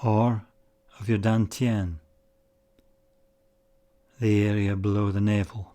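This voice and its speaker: A man leading a guided meditation, speaking in measured, deliberate short phrases with pauses between them. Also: lowish voice, level tone, dark tone. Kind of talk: guided meditation, slow spoken instruction.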